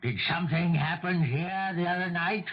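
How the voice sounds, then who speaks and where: An actor playing a cagey, fearful older male neighbor in a 1970s radio mystery, evasive and halting, often repeating himself. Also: high voice. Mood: gruff refusal. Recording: dull old radio broadcast recording.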